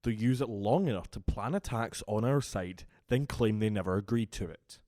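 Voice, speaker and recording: A man's voice: on the low side, lively intonation, normal speed, dark tone, dry recording, studio quality, natural voice. A man playing a cynical, defiant vampire in an audio drama, blunt and challenging. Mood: sceptical. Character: cynical, defiant male vampire elder, distrustful and contemptuous.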